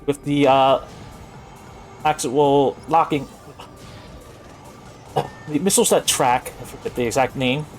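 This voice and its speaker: A man's voice: medium pitch, steady tone, slow pace, long pauses. A man giving casual, rambling, explanatory live commentary as he speedruns a video game. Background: video game music and sounds.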